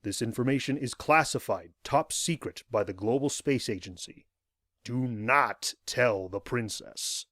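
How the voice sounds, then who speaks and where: A man narrating the scripted prologue of an audio drama in a solemn, formal, measured way. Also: medium pitch, a bit bright, slight background noise.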